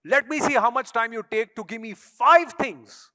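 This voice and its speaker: A man with an Indian accent and a high-pitched voice drives his stage speech with pointed rhetorical questions. His first language is Tamil. The sound carries a long echo from a big hall. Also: moderate pace, bright, forceful tone. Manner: emphatic, rhetorical, challenging the audience. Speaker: speaker. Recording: echoey hall.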